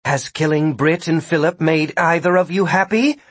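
A man's voice: fairly high pitch, normal speed, a bit bright, breathy edge, dry recording, voice pushed up. A man voicing a firm, plainspoken, challenging woman heroine in an audiobook. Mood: galled. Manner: direct, confrontational.

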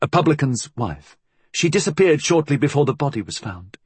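A man's voice: on the high side, big pitch swings, a little fast, husky, no room sound, pitched above the performer's own voice. A man voicing a nervous, deferential police inspector in an audiobook, hesitant and formally polite. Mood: uneasy, hesitant.

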